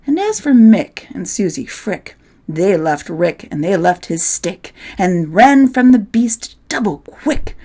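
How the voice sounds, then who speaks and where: Slow-paced woman reading rhyming storybook verse. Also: medium pitch, big pitch swings, warm tone, husky. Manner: measured, playful, theatrical delivery. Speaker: poetry reader.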